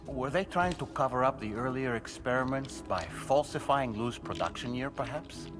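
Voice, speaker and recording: An actor playing a thoughtful, probing investigator in a video game, speaking deliberately. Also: male voice, medium pitch, animated, over music, lightly acted. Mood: pensive. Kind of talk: video game cutscene dialogue.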